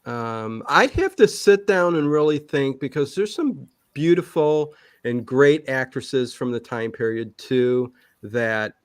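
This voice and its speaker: A man talks casually as an interview guest in a very dry, close sound. His pitch is average for a man. He rambles and backtracks, repeating words, then leans emphatically on his points. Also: expressive, takes it slowly, hardly any pauses, clean tone, no echo. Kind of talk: casual chat.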